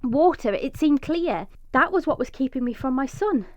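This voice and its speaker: An actress playing a desperate, vengeful mother trapped underground, narrating intensely in a breathy first-person radio drama monologue. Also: sits high, lively intonation, moderate pace, darkish tone. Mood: urgent. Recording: echoey, slightly dull recording.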